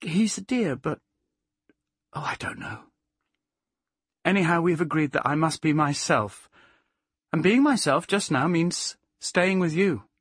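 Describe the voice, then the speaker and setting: Man voicing a high, earnest young woman. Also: dry recording, studio quality, close to an everyday voice. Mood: restless, resolved. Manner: coaxing, emphatic, halting when unsure. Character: earnest young woman, bright, affectionate, stubbornly devoted. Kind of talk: audiobook character voice.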